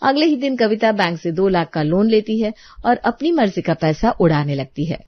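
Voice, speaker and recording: A woman serves as story narrator. The reading is scripted and sits at a medium pitch. The recording is very dry and slightly muffled. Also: lively intonation, normal speed, no room sound.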